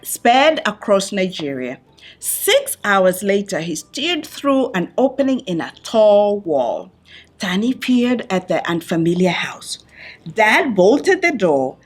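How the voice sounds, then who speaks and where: Slow, animated woman reading a children's story. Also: medium pitch, slightly rough. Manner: deliberate phrasing with dramatic pauses for young listeners. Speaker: children's book reader. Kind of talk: expressive picture-book read-aloud.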